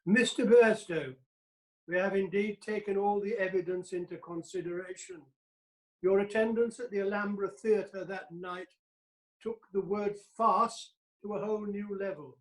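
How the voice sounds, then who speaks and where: An actor playing a stern, indignant magistrate, formal and severe, in a courtroom audio drama. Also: male voice, high-pitched. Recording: roomy, echoing sound.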